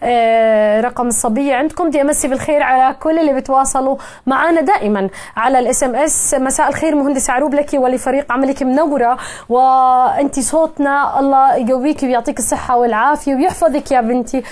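A woman in her thirties with a Jordanian Arabic accent, hosting a live call-in television show from a studio desk, talking in a brisk, friendly way. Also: high-pitched, darkish tone.

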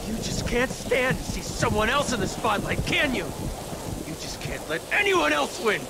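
High-strung, very high-pitched hot-headed young villain. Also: male voice, very animated, moderate pace, gravelly, very noisy, full character voice. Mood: bitter rage. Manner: shouting, strained and indignant. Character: jealous, hot-headed young male villain turned pleading. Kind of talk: video game voice acting.

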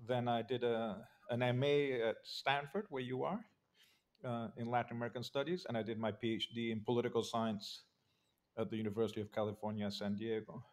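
An older man from Argentina, a guest on a video call, telling his life story in a relaxed, measured way with many pauses and fillers. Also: mid-range voice. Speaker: interview guest, political scientist. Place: home study with bookshelves. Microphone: video call webcam.

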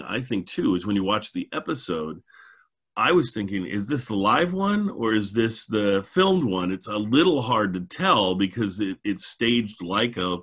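A middle-aged man with a husky voice, hosting a casual podcast discussion. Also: lowish voice, a bit bright. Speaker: podcast host. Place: indoors, on video call.

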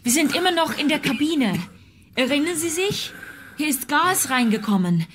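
High-voiced, wary woman. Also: lively intonation, moderate pace, fairly bright tone, slight background noise. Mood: alarmed, urgent. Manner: questioning, insistent. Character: wary, earnest woman caught up in a conspiracy. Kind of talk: modern German crime radio drama acting.

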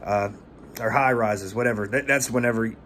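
A man's voice: medium pitch, moderate pace, fairly bright tone, slight background noise. A man in his thirties giving rambling tips in a casual vlog, stumbling and repeating words, recorded on a phone held at arm's length in a small room. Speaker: pressure washing business owner. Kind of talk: casual talking-head vlog.

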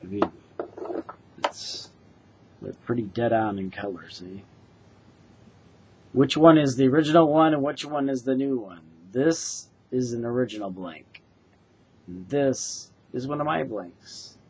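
A man in his forties from the United States gives an earnest, halting product demonstration as a craftsman, recorded indoors on a webcam mic. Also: medium pitch, slight background noise. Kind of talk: informal product demonstration to camera. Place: small bedroom, indoors. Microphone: webcam or laptop mic at desk distance. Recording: dull, lo-fi webcam sound.

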